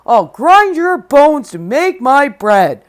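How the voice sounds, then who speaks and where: A woman voicing a big, grumpy male giant in a storybook read-aloud, speaking loud and slow. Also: on the high side, big pitch swings. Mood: booming.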